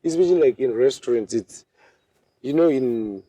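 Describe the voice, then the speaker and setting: Man, 20s, from Zimbabwe, native Shona speaker, husky, hesitant, repeats himself. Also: mid-range voice, normal speed, warm tone, no room sound. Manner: hesitant, repeats words while searching. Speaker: interview guest. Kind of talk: casual interview answers.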